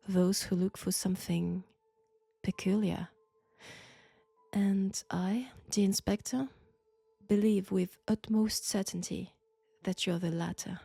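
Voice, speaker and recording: A woman with a French accent playing an enigmatic, ominous occult professor in an audio roleplay, speaking deliberately and in a hushed way. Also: mid-range voice, creaky, slightly rough, no room sound, exaggerated voice. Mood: knowing, mysterious. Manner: slow, deliberate, hushed, ominous, with whispered phrases. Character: enigmatic female occult professor, possessed vessel of an ancient entity. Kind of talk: audio roleplay acting addressed to the listener. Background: faint music.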